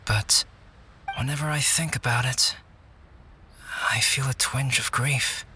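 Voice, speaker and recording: A man playing a calm, guarded young alchemist in a video game, speaking in a measured, reflective and articulate way with a touch of melancholy. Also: mid-range voice, a little hiss or hum. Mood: pensive, melancholy. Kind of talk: video game cutscene acting, storytelling.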